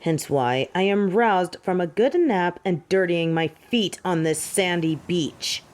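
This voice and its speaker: An actress playing a haughty, possessive fallen angel in an audio drama, with an imperious, cool and disdainful delivery. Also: female voice, on the low side, animated, measured pace, darkish tone. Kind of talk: dramatic audio roleplay acting.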